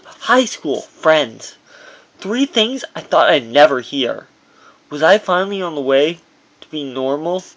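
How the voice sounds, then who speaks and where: A man narrates a personal memoir as its author. His voice sits a little high for a man. The reading is halting, with occasional stumbles over the words. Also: rough recording.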